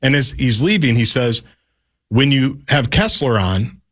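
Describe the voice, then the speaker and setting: A man's voice: mid-range voice, expressive, a little slow. A male radio host in casual conversation, asking his guest questions with long, chatty setups.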